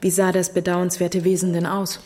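A woman's voice: lowish voice, completely flat delivery, normal speed, mellow, natural voice. A woman playing a stern but kindly middle-aged orphanage matron in an audio drama, grave and formal. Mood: grave, sorrowful. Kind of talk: German audio drama acting.

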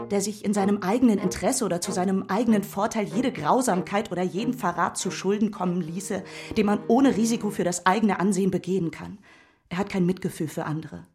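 Embittered, outspoken gentlewoman played by an actress over music. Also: mid-range voice, fairly quick, close to an everyday voice. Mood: bitter, condemning. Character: Mrs Smith, embittered, wronged, outspoken gentlewoman. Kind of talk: German radio play acting, period literary drama.

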